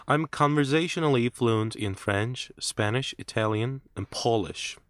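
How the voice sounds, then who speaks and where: A man reading a scripted listening-test script in a measured, clear way, as narrator and interviewee. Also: medium pitch, fairly bright tone, no room sound, studio quality.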